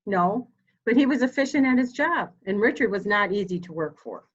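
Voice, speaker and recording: A woman's voice: medium pitch, expressive, brisk, husky. A woman playing a composed, formal older mother in a mystery drama reading, precise and guarded, in slightly dull sound. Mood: cool, guarded. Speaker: amateur reader, library staff.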